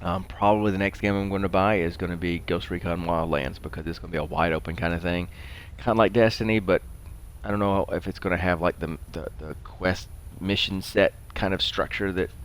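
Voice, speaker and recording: A man's voice: sits low, fairly even intonation, brisk. A middle-aged man giving casual, rambling commentary while he plays a video game. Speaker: gaming show host. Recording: faint background noise.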